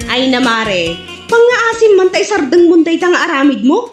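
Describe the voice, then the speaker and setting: A woman plays an exasperated Ilocano gossip in a comedy drama. Her high voice swoops about as she nags and scolds, echoing in a roomy space. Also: very animated, normal speed. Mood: scolding. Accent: Filipino, Ilocano. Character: scolding, gossipy female friend, exasperated and nagging. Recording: roomy echo, faint music.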